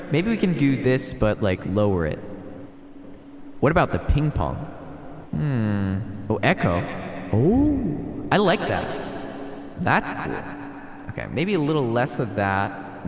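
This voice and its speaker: A man's voice: medium pitch, huge pitch swings, slow pace, pauses often, slight background noise. A man hosting a livestream, moving between rambling casual chat to himself and dramatic reading of a spooky story aloud. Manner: rambling, talks to himself while tinkering. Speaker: streamer reading a visual novel aloud. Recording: heavy added reverb and echo, music.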